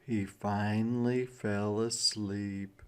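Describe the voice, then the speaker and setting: Very slow, dramatic male storybook reader. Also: lowish voice, little pitch movement, smooth. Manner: dramatic pauses, drawn-out key words. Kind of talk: children's read-aloud narration.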